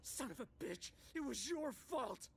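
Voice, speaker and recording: A man's voice: extremely high pitch, animated, measured pace, gravelly, noisy background, heavily acted. A young man playing an intense, emotional, resentful ninja son in a video game. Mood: furious. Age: young adult. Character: hot-headed, wounded young male ninja warrior, resentful son. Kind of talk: dramatic video game acting.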